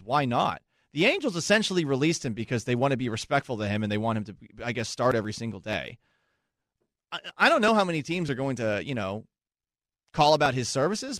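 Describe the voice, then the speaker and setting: A man's voice: mid-range voice, fairly bright tone, no room sound, studio quality. A young man hosting sports talk radio in a chatty, self-mocking way.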